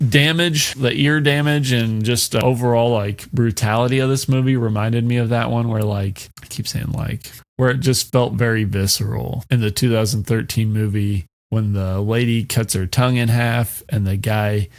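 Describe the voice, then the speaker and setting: A man's voice: medium pitch, steady tone, normal speed, few pauses. A man giving a casual, unscripted movie review in a rambling, conversational way, blunt and prone to swearing.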